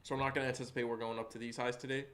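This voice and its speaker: A man live-streaming day-trading commentary, hoarse and losing his voice, who chats with viewers and walks through charts in a casual way. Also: medium pitch, little pitch movement, racing, a bit bright. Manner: chatty, greets chat viewers by name.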